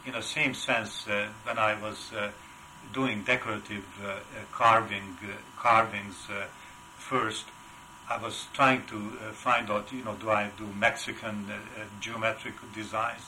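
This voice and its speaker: An older man with a heavy Hungarian accent speaks in a bright, forward voice, pitched a little low. He talks in a halting way, pausing often on filler sounds. A faint hum sits behind him. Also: level tone, low-quality recording. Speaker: wood carver, interview guest. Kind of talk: casual interview.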